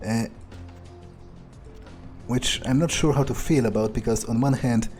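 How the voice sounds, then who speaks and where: Mellow, musing man over faint music. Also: medium pitch, a little slow. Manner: musing, hesitant, thinking aloud. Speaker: game player role-playing his character. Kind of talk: in-character let's play commentary.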